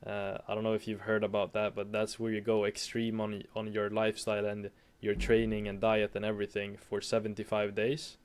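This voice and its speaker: A young man from Sweden with a Swedish accent, speaking English as a second language as an interview guest, hesitant and halting with frequent restarts. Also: fairly low pitch, monotone, smooth. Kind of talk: casual interview chat.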